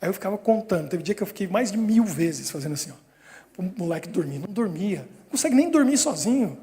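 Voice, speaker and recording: Brief: pastor, high mellow voice, chuckling storyteller, reverberant hall. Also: male voice, fast talker. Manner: lively storytelling with frequent chuckles. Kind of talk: sermon. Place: church hall.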